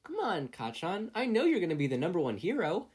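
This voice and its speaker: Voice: medium pitch, huge pitch swings, a little fast, a little mellow, breathy edge, pitched above the performer's own voice. A reader voicing an innocent, earnest young teenage boy in a story reading. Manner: earnest, pleading.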